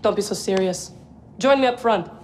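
An actress playing a solemn, dryly teasing mystic woman in a cinematic game, speaking deliberately and deadpan. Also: medium pitch, slight background noise, close to an everyday voice.

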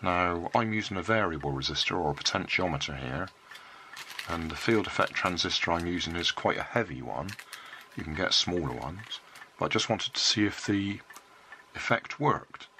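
An older man with a cold, calmly explaining a homemade circuit step by step at his hobby workbench. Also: low voice, normal speed, a little hiss or hum. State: has a cold. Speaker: electronics hobbyist. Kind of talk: casual workbench demonstration.

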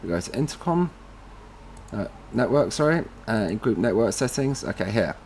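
A man presenting a casual step-by-step tutorial with a slightly husky voice, informally talking through each step as he does it. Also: on the low side, sing-song, moderate pace, a little mellow, slight background noise.

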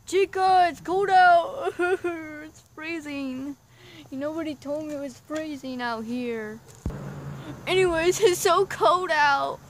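A woman's voice: high voice, slow, slight background noise. A woman voicing a jumpy male plumber plush who complains and scares easily. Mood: whiny. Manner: whiny, easily spooked.

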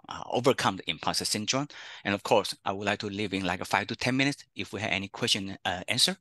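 A man's voice: lowish voice, sharp tone, no echo. A middle-aged man from Taiwan with a Taiwanese accent, Chinese as his first language, presenting an online career workshop as a counselor and often tagging "okay, huh?". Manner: chatty, frequent "okay, huh?" tags. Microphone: video call.

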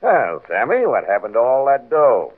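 An actor playing a bossy, mocking big-shot gambler in an old-time radio crime drama, with an offhand, commanding way of talking. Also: male voice, medium pitch, wildly swooping pitch, moderate pace. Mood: taunting. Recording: vintage radio, narrow phone-like band.

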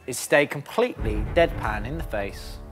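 A man's voice: mid-range voice, expressive, moderate pace, over music. A young man hosting a playful party night in a decorated bar, joking and laughing at his own lines. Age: young adult. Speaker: radio host. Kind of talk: playful party chat with karaoke. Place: decorated bar with tinsel curtains, indoors.

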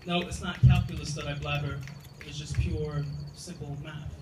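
A man's voice: fairly high pitch, level tone, moderate pace. Echoey, distant man reciting spoken word poetry. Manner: rhythmic, rhyming delivery. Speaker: poet. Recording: echoey room from far off, loud noise.

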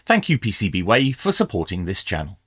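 A man's voice: on the low side, huge pitch swings, brisk, a bit bright, slightly rough, no room sound, studio quality. A middle-aged man presenting a gear review as a video host, in a small indoor workshop. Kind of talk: scripted product review with hands-on commentary.

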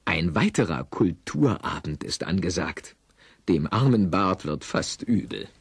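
A male storyteller with a low, husky voice narrates a radio play. He is wry and playful, with very animated intonation. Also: measured pace, no room sound, studio quality. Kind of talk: scripted, playful audio drama narration.

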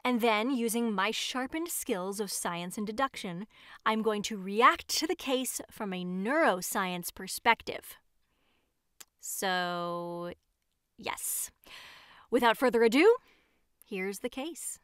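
A woman playing a scatterbrained, self-important disgraced neuroscientist in an audio drama, halting and digressive as she justifies herself. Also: medium pitch, expressive, a bit bright, clean tone, dry recording, studio quality. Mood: eager. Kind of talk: audio drama monologue as if recording a homemade show.

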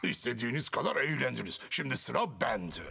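A man playing a big brawny alien dinosaur hero in a cartoon, gravelly, cocky and taunting. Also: medium pitch, very animated, brisk, heavy background noise.

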